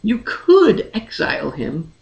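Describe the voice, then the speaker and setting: A man's voice: sits high, wildly swooping pitch, takes it slowly, vocal fry, roomy sound, heavily acted, rough recording. A man voicing a devoted, frank young noblewoman in a fantasy audiobook, earnest, formal and persuasive. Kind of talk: dramatic fantasy audiobook character reading.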